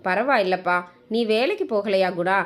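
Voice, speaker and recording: A woman's voice: medium pitch, moderate pace, lightly acted. A woman voicing a hurt, loving middle-aged mother with little schooling, plaintive and apologetic, in a narrated story. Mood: weak, apologetic.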